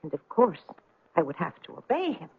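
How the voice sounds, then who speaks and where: An actress playing an earnest daughter who tells a wondrous tale in an old-time radio drama. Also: female voice, lowish voice, big pitch swings, a little fast, slightly rough, dry recording, narrow, tinny audio, exaggerated voice. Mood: wistful, hushed.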